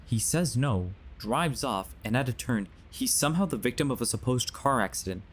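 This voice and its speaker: A man's voice: mid-range voice, lively intonation, normal speed, slight background noise, natural voice. A man playing a grave, earnest believer warning others of a sinister conspiracy in an audio drama. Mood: grave, urgent. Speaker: voice actor.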